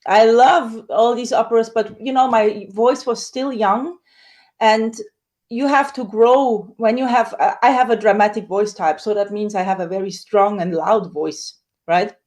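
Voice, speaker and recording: A woman, a soprano singer, telling her story in a casual interview with lively acted-out quotes. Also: unhurried. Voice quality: high dramatic coloratura soprano voice.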